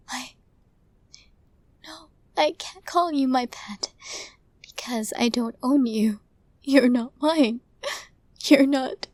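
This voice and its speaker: Woman acting a slow-spoken, possessive lovestruck mob boss lady. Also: on the high side, no echo. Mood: distraught. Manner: breathy, deliberate, tender and formal. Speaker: voice actor in romantic audio roleplay. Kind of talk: intimate ASMR roleplay acting.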